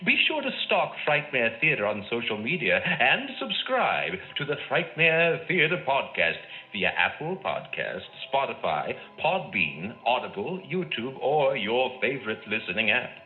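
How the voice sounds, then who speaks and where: A man's voice: mid-range voice, big pitch swings, a little slow, hardly any pauses. A man reading scripted podcast credits as an announcer, in a theatrical, playfully spooky manner. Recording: thin phone-like band over music.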